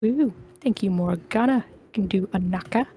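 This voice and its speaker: A woman's voice: mid-range voice, normal speed, warm tone, slightly rough. A woman hosting an online table read, talking casually and informally as she organizes the cast. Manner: informal, chatty. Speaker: host of online script table reads.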